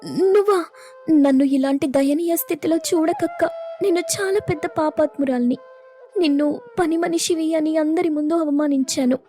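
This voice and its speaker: A woman's voice: sits high, moderate pace, mellow, soft background music, voice pushed up, natural voice. A woman voicing a desperate, remorseful young city girl, pleading and blaming herself in a moral story. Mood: tearful remorse. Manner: pleading, self-reproaching. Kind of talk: dramatised moral story acting.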